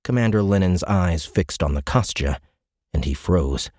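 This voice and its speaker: A man's voice: low voice, expressive, unhurried, a little mellow, no room sound, studio quality. A man narrating a science fiction audiobook in a dramatic, scripted storytelling style.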